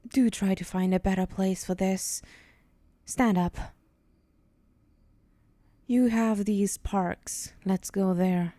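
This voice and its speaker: Slow, haughty demon woman, acted by a woman. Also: medium pitch, fairly even intonation, warm tone, no echo, lightly acted. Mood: disdainful, impatient. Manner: condescending, teasing, deliberate, with breathy whispered moments. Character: haughty yandere female demon, teasing and menacing. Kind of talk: ASMR roleplay.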